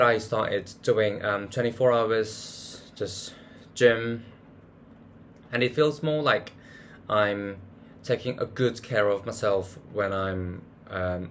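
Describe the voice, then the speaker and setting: A man's voice: lowish voice, a bit bright, slight background noise. A man chatting casually through a monthly recap vlog, hunting for words with many fillers and restarts. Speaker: vlogger.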